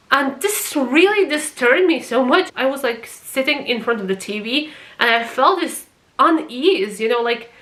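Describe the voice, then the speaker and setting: Bright-voiced Croatian woman vlogging passionately. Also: a little high. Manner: passionate, swears for emphasis. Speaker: vlogger reviewing a TV series. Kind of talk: casual opinion vlog.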